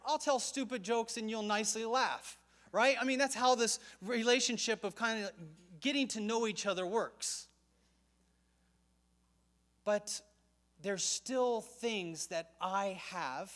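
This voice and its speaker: A man's voice: high-pitched, fairly bright tone. A middle-aged man giving a conference talk to a church audience, conversational and joking, with asides. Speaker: missions conference speaker.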